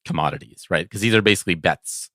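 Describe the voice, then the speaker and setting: A man's voice: sits low, fast, pushed and bright, dry recording, clean studio sound. A man co-hosting a casual sports podcast, reasoning things out hesitantly and often checking in with a questioning tag. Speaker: podcast co-host.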